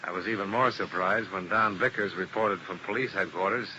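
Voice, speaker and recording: A man's voice: low voice, brisk, lightly acted. A man playing a tough, world-weary insurance investigator in 1950s radio detective style, clipped and terse. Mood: grim, matter-of-fact. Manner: clipped, hard-boiled, terse. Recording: noisy old radio broadcast recording.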